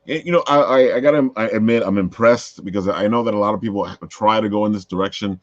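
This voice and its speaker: Host, male, Brooklyn accent, easygoing and slangy, quick to laugh, muffled dry recording. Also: fairly low pitch, dry recording. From Brooklyn, New York. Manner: laid-back, slangy, laughs easily. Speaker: podcast host. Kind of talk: casual chat.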